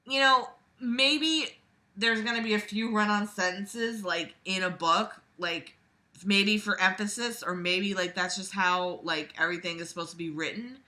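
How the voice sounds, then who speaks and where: A woman's voice: mid-range voice, normal speed, pushed and bright. A woman reading a book aloud and critiquing it casually, blunt, exasperated and sweary, with sarcastic commentary.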